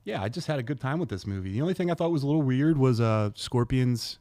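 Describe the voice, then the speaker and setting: A man's voice: mid-range voice, lively intonation, quick pace, warm tone, no echo. A man co-hosting a podcast, giving his opinions in a casual, blunt, plain-spoken way. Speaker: podcast co-host.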